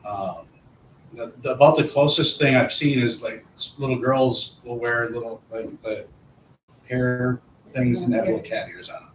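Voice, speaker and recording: A man's voice: medium pitch, steady tone, normal speed. A man speaking as a school administrator at a meeting, informally explaining things and often repeating his phrases as he goes. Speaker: school administrator reporting to a board. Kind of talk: informal discussion at a public meeting. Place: indoor meeting room. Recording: echoey room, distant mic, faint noise.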